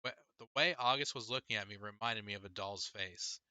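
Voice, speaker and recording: A man's voice: on the low side, brisk, bright, forceful tone, clean tone, dry recording. A man reading a first-person story aloud as scripted audiobook narration. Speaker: audiobook reader.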